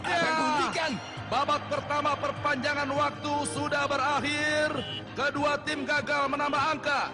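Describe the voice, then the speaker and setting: A man voicing an excited cartoon soccer commentator, announcing each play with dramatic urgency. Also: extremely high pitch, a little slow. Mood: urgent reporting. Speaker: sports commentator. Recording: loud music over the voice.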